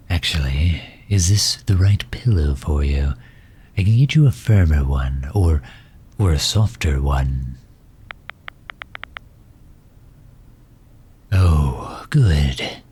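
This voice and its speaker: Casting: male actor as courtly villain for ASMR roleplay, solicitous and tender, very dark mellow husky bass, unhurried delivery. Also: slight background noise, exaggerated voice. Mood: tender, attentive. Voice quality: husky, breathy low voice. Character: doting megalomaniac villain, formal, gently tending injured nemesis. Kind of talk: ASMR roleplay, intimate acting.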